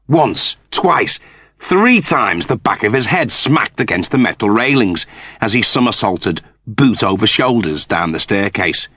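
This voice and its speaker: A man reading a story as an audiobook narrator, in an expressive scripted style. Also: on the low side, no room sound, studio quality.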